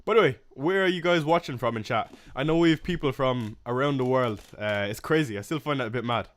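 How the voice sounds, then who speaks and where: A young man with a Waterford Irish accent chats loosely with his livestream audience. He sounds relaxed and friendly, full of fillers and small laughs as he reads comments and gives quick opinions. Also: mid-range voice. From Ireland. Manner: chatty, laid-back, frequent fillers and soft laughs. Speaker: fantasy football livestream host. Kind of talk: casual live-stream chat reading comments.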